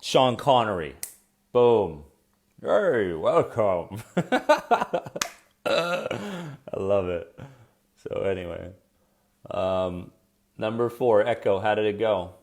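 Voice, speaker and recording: A man's voice: lowish voice, animated, extremely slow, long pauses, a little mellow. A man hosting a live coaching stream on anxiety, chatty and encouraging, with a soothing voice, talking straight to his viewers and reacting to their comments.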